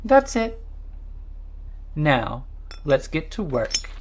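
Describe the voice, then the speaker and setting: A blunt, rule-minded woman in an audio drama. Her voice is on the low side with wide swings in pitch, and her manner is dry and deliberate. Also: very animated, darkish tone, slight background noise, heavily acted. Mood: dryly fond.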